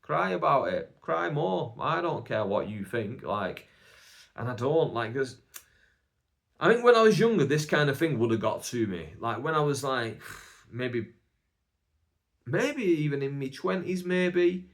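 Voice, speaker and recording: A man's voice: medium pitch, big pitch swings, a little slow, creaky. A young man with a Bolton accent, a stand-up comedian rambling through a casual, self-mocking solo podcast with lots of "like" fillers. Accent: Northern English, Bolton. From England.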